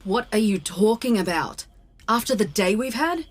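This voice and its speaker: An actress playing a practical, dry, easily exasperated starship co-captain in a sci-fi comedy audio drama. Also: female voice, medium pitch, lively intonation, normal speed, slightly rough. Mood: exasperated, hurt.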